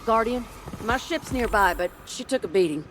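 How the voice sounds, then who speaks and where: An actress playing a brisk, determined young woman pilot with a breezy, informal manner in a video game. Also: on the high side, lively intonation, noisy background, natural voice. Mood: friendly, busy. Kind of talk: cinematic game voice acting. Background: music.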